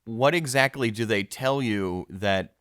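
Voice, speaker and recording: Slow-talking man hosting, dry close sound. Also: lowish voice, fairly bright tone, smooth, dry recording. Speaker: podcast host. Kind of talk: mock-documentary comedy interview.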